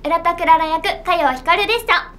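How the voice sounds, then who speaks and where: A woman's voice: extremely high pitch, brisk, heavy background noise. A young adult woman, a voice actor, chatting casually in a promotional interview. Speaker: voice actor guest. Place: small indoor room.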